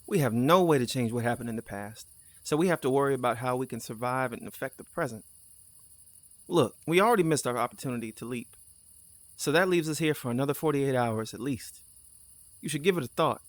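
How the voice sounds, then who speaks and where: Sci-fi audio drama sidekick: male actor as casual, teasing, candid crewmate, middle-pitched voice, dry studio sound. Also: brisk, no echo, close to an everyday voice. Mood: curious, nudging. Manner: casual, conversational. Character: easygoing, teasing, candid male crewmate on time-travel mission. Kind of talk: naturalistic audio drama dialogue.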